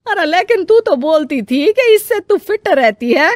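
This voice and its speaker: A woman's voice: very high-pitched, very animated, unhurried, darkish tone, dry recording, clean studio sound, full character voice. A woman playing an affectionate elderly mother-in-law who fusses and exclaims in a homely family story. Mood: puzzled. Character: affectionate elderly mother-in-law, fussing over her household.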